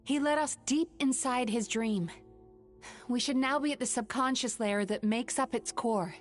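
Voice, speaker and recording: A woman voicing a calm, resolute dream eater. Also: fairly high pitch, normal speed, lightly acted. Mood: serious. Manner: gentle, composed, serious. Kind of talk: video game voice acting. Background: game music.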